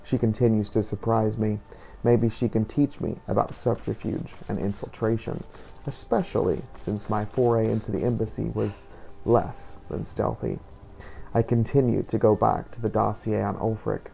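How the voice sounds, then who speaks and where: A man narrating a game playthrough as a scripted first-person story, in a measured storytelling delivery. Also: lowish voice, steady tone, vocal fry, dark tone, a little hiss or hum, rough recording.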